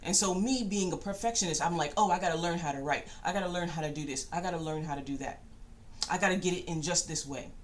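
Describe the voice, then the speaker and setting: A middle-aged woman with a fairly low voice talks through personal reflections. She is thoughtful and halting, pausing and rewording as she goes. Faint background noise sits behind her. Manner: thoughtful, halting delivery with pauses and rephrasing. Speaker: spiritual channel host and self-published author.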